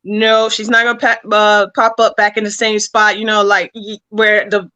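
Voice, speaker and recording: A woman with a bright, forward voice at an average pitch talks as a guest creator in an interview. Her explanations are conversational and measured, set out phrase by phrase with pauses. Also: flat delivery, lo-fi sound.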